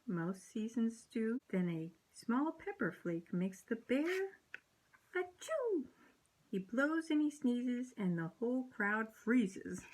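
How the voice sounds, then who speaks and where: A woman reading a children's picture book aloud, slow and sing-song, with playful voices for the animals. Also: fairly high pitch, low-quality recording. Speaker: storybook reader.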